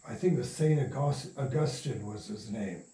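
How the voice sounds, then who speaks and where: A man's voice: mid-range voice, expressive, normal speed, mellow. An older man being interviewed, telling anecdotes in a casual, rambling way. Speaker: interview guest. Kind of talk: casual storytelling reminiscence. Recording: roomy echo, distant from mic.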